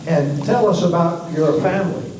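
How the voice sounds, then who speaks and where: A man moderating a club lecture series, introducing and questioning a guest in a relaxed, chatty way. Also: fairly high pitch, moderate pace, a little hiss or hum. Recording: very echoey hall, far from mic.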